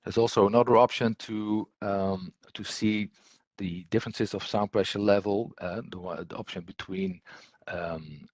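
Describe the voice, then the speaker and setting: A man giving an unscripted software tutorial as instructor, speaking haltingly and hesitantly, often repeating words. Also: lowish voice, steady tone, no echo.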